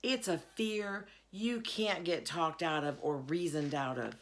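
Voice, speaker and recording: Woman narrator as preacher father, storybook, bewildered, deliberate, slow-paced, explanatory. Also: sits low. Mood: calm, explaining. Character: bewildered, measured preacher father of a young girl.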